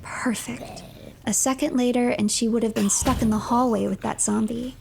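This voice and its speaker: High-pitched woman narrating a story, slightly creaky. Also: steady tone, normal speed, heavy background noise. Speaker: streamer narrating game playthrough. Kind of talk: scripted third-person story narration over gameplay.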